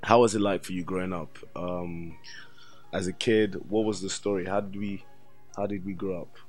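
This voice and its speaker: A man's voice: low-pitched, fairly even intonation, moderate pace, faint music behind. A man, speaking conversationally as an interview host.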